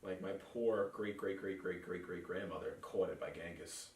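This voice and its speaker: A man's voice: deep voice, normal speed, darkish tone, roomy sound, far from the mic, slight background noise. A young man with a New York accent, hosting a podcast and joking around in casual, rambling chat. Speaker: podcast host.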